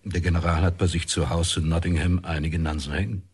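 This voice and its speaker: Deep, husky courteous state official. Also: male voice, steady tone, a little fast, darkish tone, heavily acted. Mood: courteous, explanatory. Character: urbane, official state commissioner acting as interpreter. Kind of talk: radio drama dialogue.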